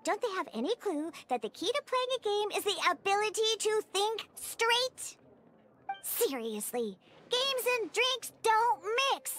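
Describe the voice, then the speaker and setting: A woman's voice: extremely high pitch, sing-song, measured pace, exaggerated voice. A woman voicing a grumpy little cat-girl bartender in a video game, pouty, huffy and exasperated. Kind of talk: video game cartoon-like acting. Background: game music.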